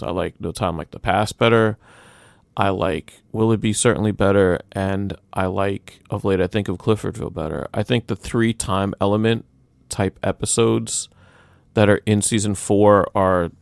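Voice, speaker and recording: A man hosting a podcast, giving casual, opinionated commentary in a measured way. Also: fairly low pitch, vocal fry, dry recording. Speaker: podcast host.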